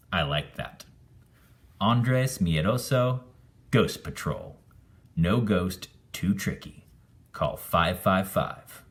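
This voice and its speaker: A man reading a children's story aloud in an expressive, animated way, acting out the narration. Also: sits low. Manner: animated, acts out the dialogue. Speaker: storybook reader.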